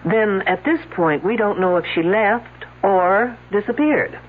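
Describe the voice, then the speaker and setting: A man's voice: high voice, unhurried. An actor playing a dry, sarcastic male detective on a radio drama. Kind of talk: 1970s radio drama acting. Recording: tinny old radio broadcast.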